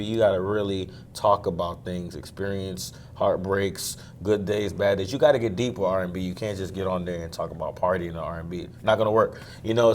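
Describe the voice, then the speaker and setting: A young man from Maryland, a singer, talking casually in an interview, relaxed and quick to laugh. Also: sits low, a little hiss or hum. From Maryland, USA. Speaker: guest singer.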